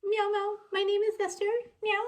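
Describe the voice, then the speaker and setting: A woman's voice: very high voice, monotone, moderate pace. A woman voicing a sweet little cat puppet, with meows and a sing-song manner, in a children's show. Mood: playful chant.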